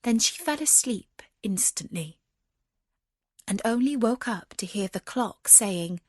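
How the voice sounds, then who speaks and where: A woman narrating a scripted fairy tale as a storyteller, measured and expressive. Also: on the high side, dry recording, clean studio sound.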